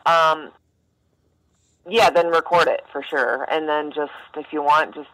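A woman's voice: low voice, fairly even intonation, a little slow, a bit bright, no echo. A woman speaking bluntly and informally in a casual phone conversation, as a helpline staffer. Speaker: mental health helpline staffer. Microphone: telephone. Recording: phone call.

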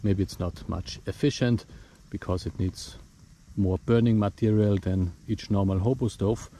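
German guy talking slow through a bushcraft demo in the woods, lowish voice, faint hiss behind. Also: fairly even intonation, warm tone. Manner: explains step by step, plain simple phrasing. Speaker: bushcraft instructor. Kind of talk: outdoor demonstration vlog. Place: outdoors in a forest.

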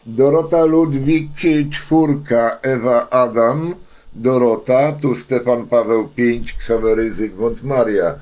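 Slow, mellow older man chatting. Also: medium pitch, slight background noise, rough recording. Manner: folksy, chatty, frequent filler phrases and repetitions. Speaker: amateur radio operator, hobby video maker. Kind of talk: rambling casual radio chat.

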